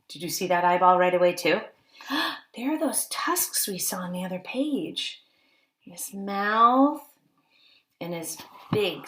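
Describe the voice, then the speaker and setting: Slow-paced woman reading to young children. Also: medium pitch, fairly bright tone. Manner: childlike-simple, questioning, exclaiming with gasps and asides. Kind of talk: picture-book read-aloud with questions and commentary.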